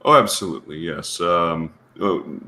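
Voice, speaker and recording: A man chatting as a guest on a live stream, halting and restarting often, his voice a little gravelly and congested from a sinus infection. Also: sits low, sharp tone. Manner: hesitant, halting with repeated starts. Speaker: guest co-panelist, content creator. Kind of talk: casual live stream chat.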